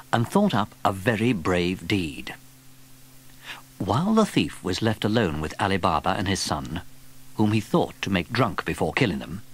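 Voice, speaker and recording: A man narrating a children's story from a script in an expressive storytelling manner. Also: on the low side, breathy edge. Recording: vintage cassette tape. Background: music.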